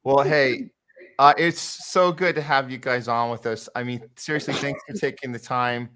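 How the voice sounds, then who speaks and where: A man's voice: mid-range voice, steady tone, moderate pace, fairly bright tone, clean tone. A man hosting a podcast in casual interview chat, speaking in an effusive, appreciative way. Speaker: podcast host.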